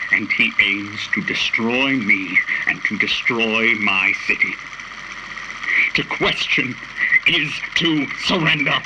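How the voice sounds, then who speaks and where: Man acting a slow-spoken, grandiose city ruler. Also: medium pitch, noisy background. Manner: oratorical, deliberate, grandiose. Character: proud, unyielding male founder and ruler of a city. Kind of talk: radio drama acting.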